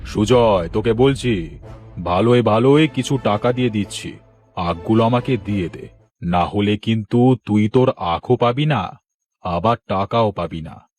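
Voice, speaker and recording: A man's voice: fairly low pitch, darkish tone, soft background music, natural voice. A man playing a greedy, pompous, scheming village headman in a children's cartoon story. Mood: bossy, menacing. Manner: pompous, domineering, slow and deliberate.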